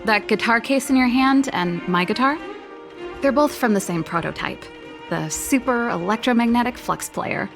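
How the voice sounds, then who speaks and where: A woman voicing a spirited, wistful young elder sister who invents and plays music in a video game, with a breezy, expressive delivery. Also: a little high, normal speed, vocal fry. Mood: lively, teasing. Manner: breezy, expressive, with sighs and trailing reflection. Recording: studio voice over background music. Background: game background music.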